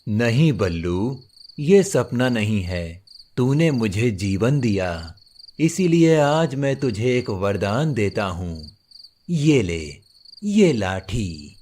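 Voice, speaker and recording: A man's voice: fairly low pitch, very animated, takes it slowly, darkish tone, a little hiss or hum. A man voicing a wise, stern male magical bird in a cartoon story, speaking solemnly and giving blessings and warnings.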